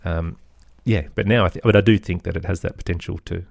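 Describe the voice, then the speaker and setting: A man's voice: low-pitched, steady tone, fairly quick, creaky, dry recording, studio quality. A middle-aged man giving thoughtful, earnest answers as an interview guest in a conversational way. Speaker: interview guest, podcaster and musician.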